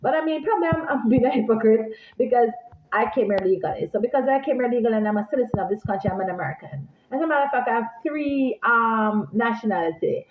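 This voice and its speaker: Jamaican woman vlogger: rambling, animated, high-pitched, recorded in an echoey room. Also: quick pace. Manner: animated, repeats herself, talks straight to viewers. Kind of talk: casual rambling monologue to viewers. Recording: echoey room, phone-like sound.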